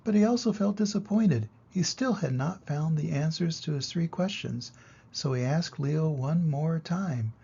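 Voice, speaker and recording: An older man reads a children's storybook in a husky, gravelly voice pitched a little high for a man. He narrates deliberately, with dramatic emphasis. The recording is slightly muffled and lo-fi. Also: warm tone. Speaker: grandfather reading stories aloud.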